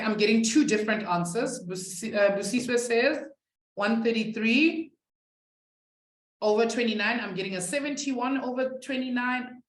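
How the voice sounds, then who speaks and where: A woman's voice: fairly high pitch, reverberant, rough recording. A young woman who is not a native English speaker, teaching a live online maths class from a webcam and chatting with students. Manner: chatty, reads out student chat, laughs at herself.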